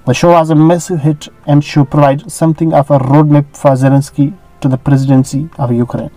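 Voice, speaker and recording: Mellow-voiced man reading a biography. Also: a little high, flat delivery, brisk, no room sound, soft background music, rough recording. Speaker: narrator. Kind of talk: scripted biography narration.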